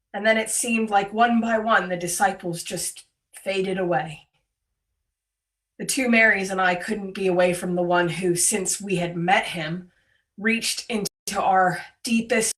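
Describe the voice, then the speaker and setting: A woman voicing a grieving, devoted female disciple of Jesus in a dramatic worship monologue, sorrowful and deliberate, over a video call. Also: medium pitch, a bit bright. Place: remote, on a Zoom call. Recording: distant microphone, video call audio.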